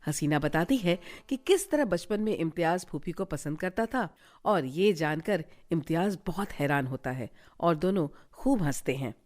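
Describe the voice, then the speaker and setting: Lower-pitched woman narrating a recap. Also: expressive, normal speed, a little mellow.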